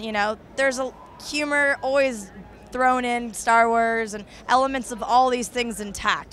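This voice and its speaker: A woman co-hosting a movie news chat, enthusiastic and fluent, her voice hoarse and fading. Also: fairly high pitch, a bit bright, a little hiss or hum. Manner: enthusiastic, fluent, many "you know" fillers. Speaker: co-host of a movie news show. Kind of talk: casual chat about movies. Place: outdoors at a busy convention.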